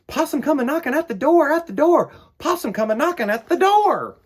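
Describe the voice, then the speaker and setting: A man hosting a children's storytime, chatting to young listeners and reading aloud in a playful, animated way. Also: very high-pitched, fairly quick.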